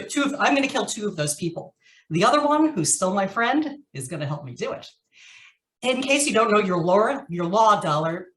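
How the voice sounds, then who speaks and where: A woman's voice: medium pitch, a bit bright, close to an everyday voice. Heard from a distance in an echoey room, a woman acts a hard, vengeful female ex-convict from a radio noir. She talks with sardonic menace, as someone bent on settling scores. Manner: tough, sardonic, threatening. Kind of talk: old-time radio noir acting. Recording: roomy echo, distant from the microphone.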